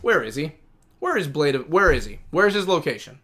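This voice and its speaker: A man's voice: fairly high pitch, very animated, fairly quick, pushed and bright. A man in his thirties streaming a game, thinking aloud in a rambling, chatty way. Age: 30s. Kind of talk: casual livestream chat.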